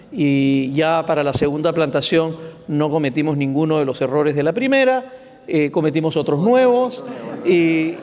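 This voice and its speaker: High-voiced man in a reverberant hall. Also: a little mellow. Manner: earnest storytelling, acts out prayers. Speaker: church planter pastor. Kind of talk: panel testimony. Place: large hall, panel on stage.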